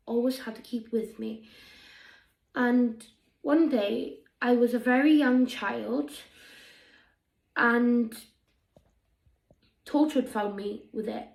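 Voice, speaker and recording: A woman improvising as an earnest sixteen-year-old alien girl with a Welsh accent, telling her past in a halting, reflective way. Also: fairly high pitch, creaky, a little mellow, roomy sound, low-quality recording. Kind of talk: improvised in-character monologue.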